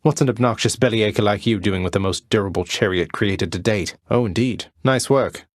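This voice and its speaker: An actor playing a wry young prince of the underworld in a video game, courteous with a dry, understated sarcasm. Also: male voice, on the low side, normal speed, dry recording, close to an everyday voice. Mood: dry, taunting. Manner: dry, understated, politely sardonic. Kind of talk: video game character voice lines.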